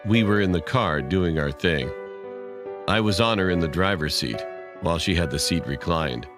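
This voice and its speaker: A man's voice: deep voice, expressive, moderate pace. A man reading a scripted horror story as its narrator. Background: music.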